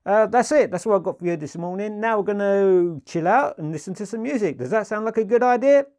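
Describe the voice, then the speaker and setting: A man's voice: high voice, fairly quick, darkish tone. A middle-aged British man giving an informal product presentation as a dealer, enthusiastic and emphatic, often repeating his superlatives.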